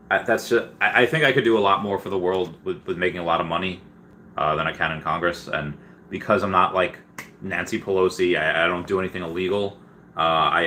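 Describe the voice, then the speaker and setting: A man's voice: low-pitched, sharp tone, slight background noise. A man from Peru hosting a casual livestream, reading out and answering viewer comments in a rambling, stop-start, slangy way. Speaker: livestream host.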